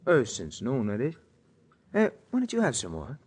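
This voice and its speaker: An actor playing a chatty, streetwise cab driver with a breezy, slangy 1940s radio delivery. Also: male voice, medium pitch, huge pitch swings, moderate pace, a little mellow, husky.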